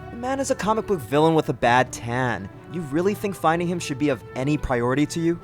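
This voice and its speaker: A man's voice: a little high, big pitch swings, fast, soft background music. A man playing a vain, sarcastic tech specialist with a flippant manner in a radio play.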